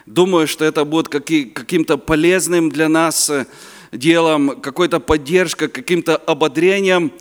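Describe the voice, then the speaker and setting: A man's voice: fairly high pitch, clear, resonant tone. A man in his fifties from Ukraine, a native Ukrainian speaker with a Ukrainian accent, giving a chatty, joking sermon as a guest preacher at a youth conference, sometimes searching for words. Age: 50s. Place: conference hall. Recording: reverberant hall.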